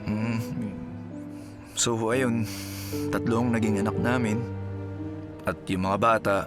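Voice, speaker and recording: A man's voice: fairly low pitch, fairly even intonation, takes it slowly. An actor playing a humbled, weary single father in a Filipino radio drama, hesitant and apologetic, with a Filipino accent. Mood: sorrowful. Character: weary, humbled jobless single father fallen on hard times. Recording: music behind the voice.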